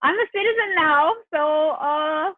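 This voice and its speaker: A woman's voice: high-pitched, unhurried, no room sound. A woman in her twenties from South Korea with a Korean accent, chatting casually as a podcast guest. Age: 20s. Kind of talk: casual chat. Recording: tinny, phone-like line, dry.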